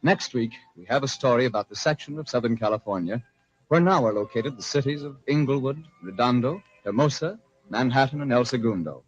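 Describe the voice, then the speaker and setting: Theatrical American storyteller, old radio sound. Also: male voice, medium pitch, fairly quick. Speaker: radio drama narrator and host. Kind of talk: 1940s radio storytelling, scripted narration. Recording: dull vintage radio broadcast with music.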